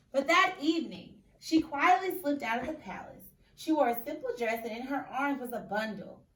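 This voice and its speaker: A woman teacher reading a picture book aloud expressively, pausing to question and explain in an instructive, emphatic way. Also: a little high. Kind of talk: expressive story read-aloud with questions to children. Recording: echoey room, distant mic, faint noise.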